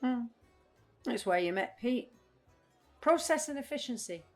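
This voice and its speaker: A woman's voice: fairly high pitch, expressive, measured pace, a little mellow, faint music behind. A woman acting in an audio play as a restless, literal-minded, blunt adult woman with a matter-of-fact way of talking. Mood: blunt, unbothered. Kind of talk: audio drama dialogue.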